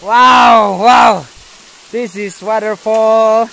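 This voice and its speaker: A young man from India vlogging on a phone held at arm's length while hiking a forest trail to a waterfall, tired and thirsty, with excited exclamations and halting simple phrases. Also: high voice, low-quality recording. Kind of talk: casual vlog narration while hiking.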